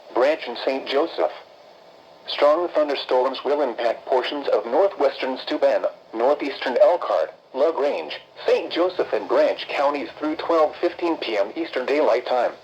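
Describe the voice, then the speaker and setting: Over a dull, noisy radio broadcast, a synthetic male voice of medium pitch reads official weather alerts. The delivery is impersonal and formal, moving through short, clipped phrases as an automated announcer would. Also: a little mellow. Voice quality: synthetic text-to-speech voice. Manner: impersonal, evenly measured, clipped list-like phrasing. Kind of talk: formal scripted emergency weather bulletin.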